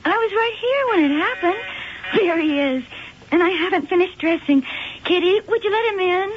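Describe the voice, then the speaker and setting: An actress playing an earnest young woman, an archaeologist's daughter, in an expressive radio-drama style. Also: very high voice, moderate pace. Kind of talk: old-time radio drama acting. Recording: dull old radio drama recording.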